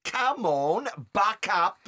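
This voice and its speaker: A man's voice: high-pitched, big pitch swings, extremely slow, sharp tone, full character voice. An actor playing a cocky, irritable, crooked car-dealer father with an English accent in an audiobook drama, blustering and yelping in colloquial speech. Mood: smug, bossy.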